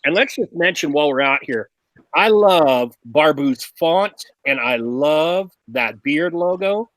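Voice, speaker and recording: A man's voice: a little high, expressive, unhurried, fairly bright tone, no room sound. A man hosting a casual review chat on a video call, enthusiastic, drawing out his phrases. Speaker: show host, reviewer.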